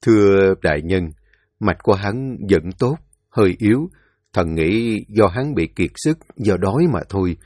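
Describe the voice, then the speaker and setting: A man's voice: on the low side, expressive, a little slow, darkish tone, no room sound, studio quality. A man voicing a deferential, hesitant older royal physician in a storybook reading. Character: humble older male royal physician, cautious.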